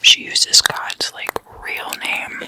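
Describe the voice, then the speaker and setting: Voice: slow, sharp tone. An ASMR host telling stories in a whisper, in a casual, gossipy, conversational manner.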